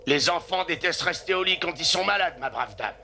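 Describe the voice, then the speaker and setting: A man playing a gruff, hearty, bossy dog-sled driver with a gravelly voice in a French cartoon dub, noise behind. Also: on the high side, expressive, a little fast, pushed and bright, heavily acted. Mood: grumbling. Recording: clear background noise.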